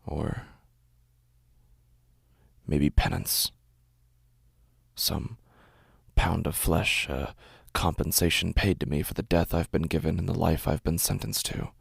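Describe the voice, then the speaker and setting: An actor playing a weary, haunted walking-dead man in a horror audio drama, brooding and numb, with halting, deliberate phrasing. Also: a bass voice, fairly even intonation, creaky, husky, dry recording, studio quality, heavily acted. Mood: brooding, resigned.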